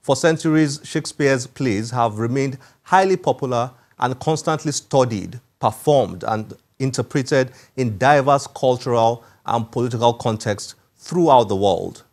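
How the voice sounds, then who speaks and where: A man presenting a book programme on television, reading scripted narration in a measured, formal way. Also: mid-range voice, darkish tone. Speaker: TV book show host.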